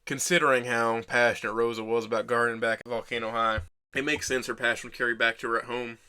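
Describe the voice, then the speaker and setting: A man voicing an injured, self-deprecating teenage boy who narrates his own story in a measured, wry, deadpan way for an audio drama. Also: medium pitch, smooth, lightly acted. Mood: descriptive. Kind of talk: first-person scripted narration.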